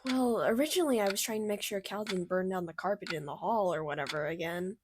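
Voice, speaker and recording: A woman voicing a bubbly, caring female cartoon character in a radio play, chatty, motherly and expressive. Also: mid-range voice, fast talker, noisy background, lightly acted. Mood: concerned. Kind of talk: fictional radio theater acting.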